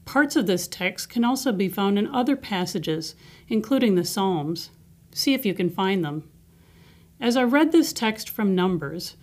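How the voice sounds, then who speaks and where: Measured woman reading a devotional. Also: medium pitch, darkish tone. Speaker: devotional reader. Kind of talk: scripted devotional reading and reflection.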